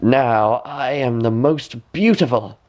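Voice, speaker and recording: A man voicing a vain, envious wicked queen in a storybook, slow, imperious and incantatory. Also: medium pitch, a little mellow, natural voice.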